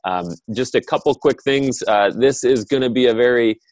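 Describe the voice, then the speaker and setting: A man's voice: mid-range voice, moderate pace, a little mellow, no room sound. A man hosting an online panel session in an informal, conversational way. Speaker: host moderating an online panel. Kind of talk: casual webinar hosting. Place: online video call.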